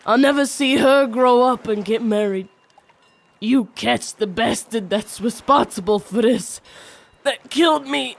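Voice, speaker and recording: A woman playing a world-weary character with a creaky voice in a comedic noir audio drama. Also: fairly high pitch, unhurried. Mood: dying, strained. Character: dying detective or wary street dealer, world-weary.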